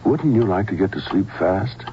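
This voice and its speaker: A man reading a 1970s radio commercial in a deliberate, persuasive announcer style. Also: sits low, lively intonation, loud music behind the voice. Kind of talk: 1970s scripted radio ad read. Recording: dull old radio recording.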